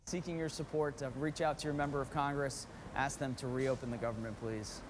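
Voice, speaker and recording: A man's voice: medium pitch, level tone, brisk. Plainspoken man, street noise behind. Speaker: air traffic controller being interviewed. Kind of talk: plainspoken news interview. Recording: outdoor urban traffic noise.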